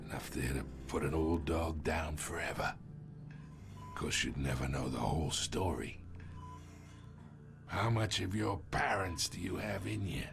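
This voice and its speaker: An actor playing an older crime lord, sly and menacing, weakened by pain, in a game with a gravelly, needling delivery. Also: male voice, extremely low pitch, full character voice. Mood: pained, coaxing. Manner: slow, mock-familiar, needling. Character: ailing old male crime lord, sly, taunting, menacing. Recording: music playing behind the voice.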